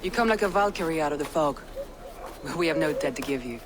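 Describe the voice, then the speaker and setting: A woman's voice: low voice, lively intonation, fast talker, noisy background. A woman voicing a proud, resolute Viking lord and war leader in a video game, commanding, guarded and earnest. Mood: guarded, weary. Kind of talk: video game dramatic acting. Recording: music behind the voice.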